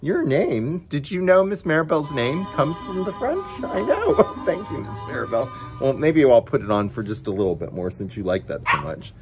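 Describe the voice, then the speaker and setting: A man's voice: fairly high pitch, sing-song, normal speed, few pauses, over music. A man reading a children's picture book aloud as story time host, with playful, chatty asides to his pet dog.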